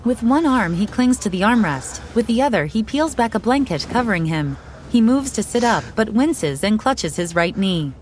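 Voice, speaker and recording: A woman reading scripted audio description in a calm, even, precise way. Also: fairly high pitch, normal speed, a little hiss or hum. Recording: voice over soundtrack music.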